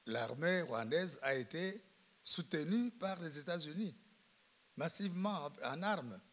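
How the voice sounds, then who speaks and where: A man commenting from the audience at a public debate, emphatic and deliberate, speaking in broken phrases. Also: on the high side, expressive, a bit bright.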